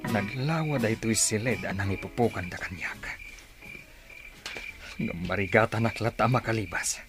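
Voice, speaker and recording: A Filipino man playing an anxious prisoner plotting escape in a radio drama, muttering to himself in agitation. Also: on the low side.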